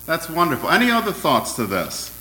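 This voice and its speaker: A man preaching an interactive sermon, asking his congregation questions in a loud, friendly voice. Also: on the high side, huge pitch swings, fairly quick, echoey room, rough recording. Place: church hall.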